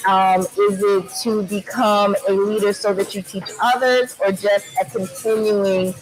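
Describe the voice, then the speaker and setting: A woman host interviewing a guest, attentive and asking clear questions in a huge, loud space. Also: mid-range voice, steady tone, a little slow, soft background music, low-quality recording. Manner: attentive, asks questions, short affirmations.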